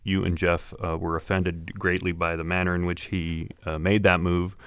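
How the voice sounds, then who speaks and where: A man with a low voice co-hosts a radio talk show. He chats casually and likes to tease in a wry way. The sound is dry, with little room in it. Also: little pitch movement, normal speed, a bit bright, clear, resonant tone, dry recording. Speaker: radio talk show host and newspaper columnist.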